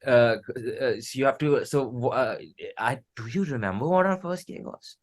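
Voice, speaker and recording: Medium-pitched man, informal musician guest. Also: animated, normal speed. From Mumbai, India. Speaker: musician, band member being interviewed. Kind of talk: casual chat.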